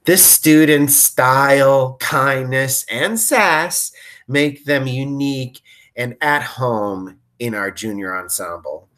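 A man's voice: medium pitch, slow pace, fairly bright tone. A man in his forties reads a scripted award announcement into a computer at home. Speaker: award presenter. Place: bright room at home.

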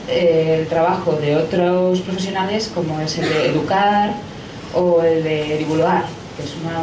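A woman's voice: fairly low pitch, distant mic. A woman with a Spain Spanish accent making a comment and putting a question from the audience at a live talk. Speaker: audience questioner, science journalist. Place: pub event room, indoors. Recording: echoey room from afar, clear background noise.

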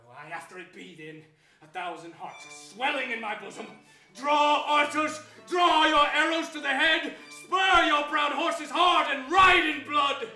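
A man playing a villainous warrior king on stage, declaiming Shakespearean verse in a grand, deliberate, theatrical manner. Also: very high-pitched, bright, forceful tone, faint music behind, full character voice. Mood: fierce, rousing. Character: villainous warlike king, scornful and desperate in battle. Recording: roomy theatre stage, faint noise.

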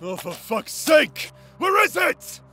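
A man's voice: very high-pitched, very animated, slow, bright, forceful tone, faint music behind, exaggerated voice. A man acting a cursed warrior in a fantasy audio drama, solemn and wry, sworn to resist a demon. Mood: exasperated.

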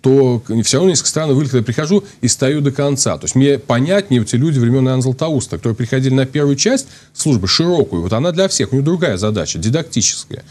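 A man's voice: mid-range voice, expressive, fast, hardly any pauses, a little mellow. A man in his thirties, a priest, explaining at length on a studio talk show, thinking aloud with many restarts and fillers. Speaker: priest guest. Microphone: lapel.

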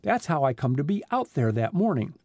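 A man voicing a slow-witted, long-winded middle-aged truck driver who talks in a plodding, folksy way. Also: fairly high pitch, very animated, mellow, no echo, clean studio sound.

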